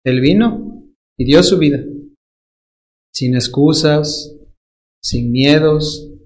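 A man with a Dominican accent preaches a sermon at an unhurried, deliberate pace, leaning hard on key words and stretching them out. His voice sits high for a man, and he slips into playful mimicry of everyday excuses. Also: a little mellow, poor audio. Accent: Dominican Spanish. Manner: emphatic, drawn-out stresses on key words.

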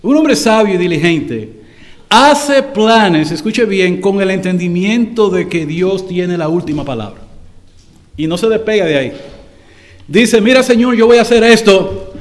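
A man preaching a sermon to his congregation, swelling louder and slowing down for emphasis. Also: high-pitched, animated. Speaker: pastor.

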